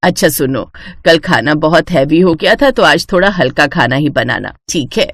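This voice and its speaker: A woman voicing an older mother-in-law in a family storybook tale, measured and approving, with homely authority. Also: low-pitched, animated, fairly bright tone, dry recording, clean studio sound, voice pushed down, full character voice. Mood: calm, approving. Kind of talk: acted Hindi family storybook dialogue.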